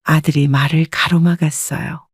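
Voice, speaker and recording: Calm woman narrating a family story. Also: deep voice, moderate pace, slightly rough, no room sound, clean studio sound. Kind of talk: scripted first-person story narration.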